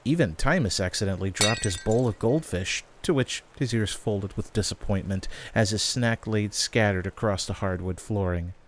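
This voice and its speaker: A man's voice: lowish voice, normal speed, hardly any pauses. A man reading scripted fantasy story narration as the narrator of an audio drama.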